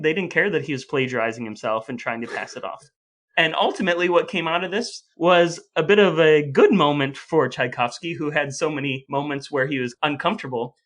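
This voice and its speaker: A man with an American accent co-hosting a podcast, telling stories in an animated, conversational way. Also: a little high. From United States. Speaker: podcast co-host. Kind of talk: conversational storytelling and discussion.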